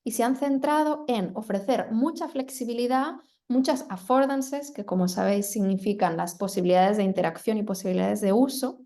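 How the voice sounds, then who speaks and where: A woman's voice: medium pitch, normal speed, hardly any pauses, dark tone. Fluent woman summarizing a talk. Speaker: moderator and interpreter. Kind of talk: quick Spanish summary of a presentation.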